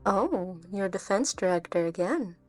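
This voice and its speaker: A woman's voice: mid-range voice, lively intonation, measured pace, a little mellow, no echo, faint music behind, lightly acted. A woman playing a sly covert agent in a game fan dub, teasing and insinuating with cool menace. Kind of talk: scripted anime game dub acting.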